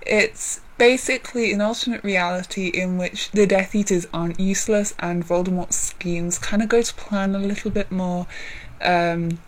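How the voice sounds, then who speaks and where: A woman vlogger giving a casual chatty update, deliberately speaking in a low voice, rambling with many ums and apologies. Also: poor audio. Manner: deliberately lowered voice.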